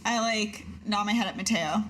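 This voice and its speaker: A woman's voice: medium pitch, normal speed, a bit bright, low-quality recording. A woman playing a tabletop roleplaying game, improvising casual talk in character. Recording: noticeable background noise.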